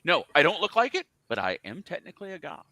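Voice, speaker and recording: A man on a music podcast panel with a bright, animated voice in a dry recording. He speaks casually and bluntly, with a contrarian streak. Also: fairly high pitch, fast talker, dry recording. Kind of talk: casual opinionated music discussion.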